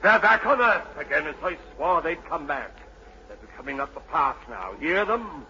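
A man's voice: on the high side, sing-song, normal speed, bright, forceful tone, full character voice. An actor playing a mad, obsessed old sea captain and domineering father, in salty archaic seafarer's speech, in an old radio drama. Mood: grimly triumphant. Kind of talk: 1940s-50s radio drama acting. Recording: muffled old radio broadcast, faint noise.

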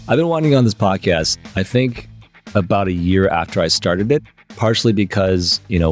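A man from Michigan hosting a podcast, asking quick, eager questions in a casual interview. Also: sits low. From United States (Michigan). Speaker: podcast host.

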